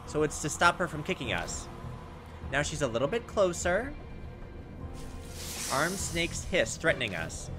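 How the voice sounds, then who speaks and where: A man with a fairly high, very animated voice talks through a video game over clearly audible music. He thinks out loud and talks to himself, casually switching between his own reactions and reading the game's text. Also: a little slow, long pauses. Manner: thinking aloud, talking to himself. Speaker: gaming video host.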